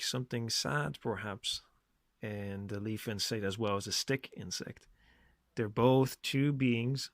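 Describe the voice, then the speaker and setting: A man hosting a reflective solo podcast, speaking in measured phrases with emphatic stresses. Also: mid-range voice, a bit bright, no echo, clean studio sound. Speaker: podcast host. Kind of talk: reflective solo podcast monologue with poem reading.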